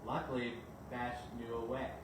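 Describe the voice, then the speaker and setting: A man's voice: medium pitch, little pitch movement, moderate pace. A man reading a children's bedtime story aloud as a storyteller. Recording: very echoey, distant mic, noisy background.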